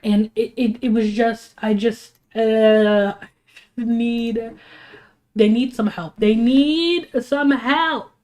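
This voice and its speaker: A woman's voice: on the high side, fairly even intonation, very slow, a little mellow. A young woman, sick and sniffling, ranting on a casual livestream with heated, rambling talk full of restarts and fillers. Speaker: streamer.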